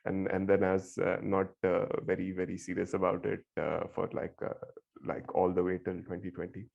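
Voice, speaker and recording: Man from India, deep flat gravelly voice, halting and full of fillers, phone-like sound. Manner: halting, many fillers and restarts, laughs often. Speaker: guest. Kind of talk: casual remote interview. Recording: tinny phone-like line.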